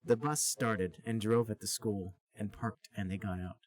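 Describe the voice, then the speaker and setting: A man's voice: fairly low pitch, fairly even intonation, moderate pace. A man hosting a comedy podcast, narrating a story aloud in a plain storybook manner. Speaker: podcast host reading a story. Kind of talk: comic read-aloud narration.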